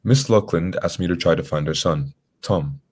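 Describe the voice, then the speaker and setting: A man's voice: low voice, normal speed, husky. A man playing a shrewd, composed detective in a radio drama, speaking formally and matter-of-factly. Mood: composed, purposeful.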